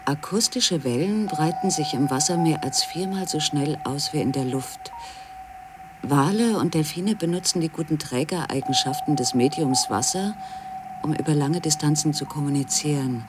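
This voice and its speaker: Higher-voiced male documentary narrator. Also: moderate pace, noisy background. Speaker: radio feature narrator.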